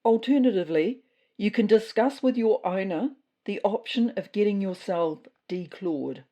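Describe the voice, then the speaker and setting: A woman playing a self-important female cat who delivers rules in a formal, measured official-briefing manner for a comedy podcast. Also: medium pitch, animated, mellow, lightly acted. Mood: bossy, formal. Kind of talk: scripted comedy fiction podcast.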